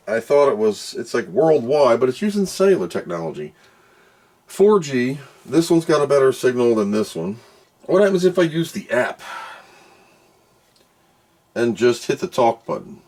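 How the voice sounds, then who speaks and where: A man's voice: medium pitch, very animated, a little slow, pauses often, darkish tone. A man doing casual hands-on product commentary, muttering to himself as he works and reading out screens. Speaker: product reviewer doing an unboxing and test.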